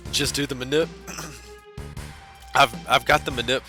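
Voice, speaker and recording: A man's voice: mid-range voice, slow, pushed and bright, soft background music. A man chatting casually with his live-stream chat while playing a game, talkative and self-deprecating. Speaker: speedrunner streamer.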